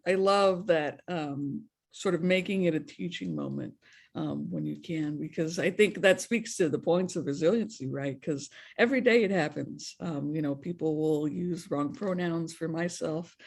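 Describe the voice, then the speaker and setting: Gentle, curious panel moderator. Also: medium pitch, measured pace, vocal fry. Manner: gentle, curious questions with many fillers.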